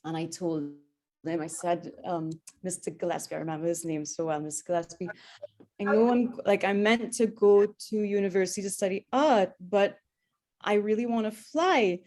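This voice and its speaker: A woman's voice: mid-range voice, moderate pace, warm tone. Chatty woman telling her story. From Trinidad. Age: 30s. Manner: chatty, with frequent ums and restarts. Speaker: guest speaker, airline pilot. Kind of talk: personal story talk.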